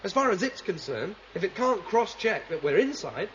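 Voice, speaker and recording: An actor playing a confident, idealistic rebel leader, explaining emphatically in a 1970s sci-fi radio drama. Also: male voice, high voice, sing-song, moderate pace, fairly bright tone. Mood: confident, scheming. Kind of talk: 1970s science fiction radio drama acting. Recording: dull vintage radio recording, faint noise, water.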